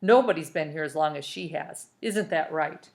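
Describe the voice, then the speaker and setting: A woman's voice: sits low, normal speed, gravelly. Woman reading as a casual, offhand young girl. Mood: offhand, friendly.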